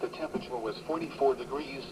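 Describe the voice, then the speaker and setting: A man's voice: mid-range voice, quick pace. Distant male radio announcer buried in noise. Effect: radio speaker. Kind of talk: scripted weather forecast reading. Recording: very noisy radio playback, off mic.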